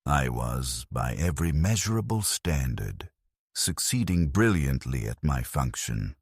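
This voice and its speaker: A man with a very deep, husky voice narrates a first-person story in a grave, measured way. His pitch moves a lot, giving the telling a lively rise and fall. The sound is dry and close. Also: no room sound.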